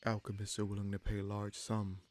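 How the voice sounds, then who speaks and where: Casting: male actor for wounded human bandit leader, lower register, gruff yet kind, halting and reflective, intimate fantasy roleplay addressed to the listener. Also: completely flat delivery, darkish tone, natural voice. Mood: musing, hopeful. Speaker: audio roleplay performer.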